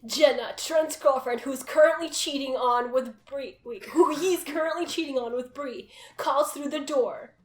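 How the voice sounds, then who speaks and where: High-pitched woman narrating, echoey room. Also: normal speed, hardly any pauses. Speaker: narrator of a group dramatic reading. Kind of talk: amused amateur narration of a comic story. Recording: roomy echo, faint music behind.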